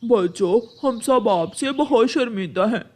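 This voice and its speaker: A man's voice: very high-pitched, animated, a little slow, exaggerated voice. Man voicing a pompous, commanding cartoon crow. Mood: apologetic. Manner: commanding, declamatory. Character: pompous, commanding rich male crow householder.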